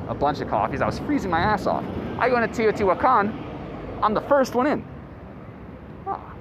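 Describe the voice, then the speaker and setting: A man in his late twenties hosting a podcast, rambling casually and profanely through stories and notes. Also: a little high. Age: late 20s. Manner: rambling, profane, animated storyteller. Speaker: podcast host. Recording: loud street traffic noise.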